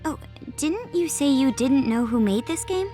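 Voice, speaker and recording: A woman's voice: sits high, lively intonation, normal speed, dark tone. A woman playing an inquisitive, serious young girl ninja in an anime game, observant and questioning in her delivery. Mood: curious. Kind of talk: English anime game dub acting. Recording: music behind.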